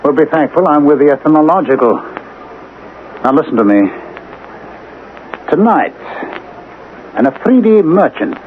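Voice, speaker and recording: An actor playing a shrewd, commanding ethnologist who gives insistent instructions in a radio drama. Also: male voice, fairly high pitch, animated, unhurried, long pauses. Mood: urgent, commanding. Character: shrewd, commanding male ethnologist scheming for a friend. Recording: noisy, narrow-band old radio recording.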